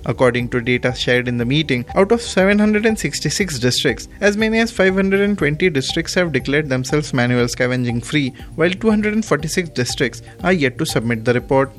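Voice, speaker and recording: Male news presenter, Indian accent, voice a little higher than average, scripted bulletin read over music. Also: animated, a little fast, few pauses.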